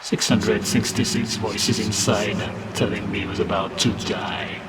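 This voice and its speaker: A man's voice: fairly low pitch, level tone, unhurried, very noisy. A man voicing a cold, boastful French demon wrestler, scornful and threatening, in a scripted cartoon. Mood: weary menace. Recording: loud music over the voice.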